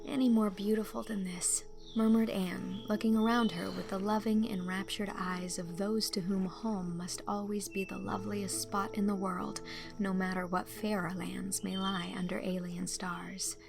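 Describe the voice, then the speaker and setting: Female audiobook narrator, mid-range voice with noticeable fry, expressive scripted storytelling over music. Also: mid-range voice, normal speed.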